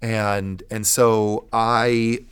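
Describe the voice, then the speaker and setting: Low-voiced man giving an emphatic testimony. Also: little pitch movement, drawn-out words, clean tone. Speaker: pastor and podcast guest telling his testimony. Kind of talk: personal testimony in conversation.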